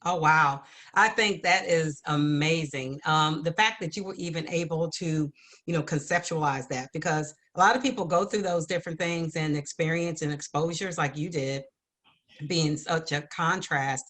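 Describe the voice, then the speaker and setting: A woman's voice: deep voice, normal speed, fairly bright tone. Effusively encouraging woman hosting an interview. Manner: effusive, affirming. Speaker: interview show host.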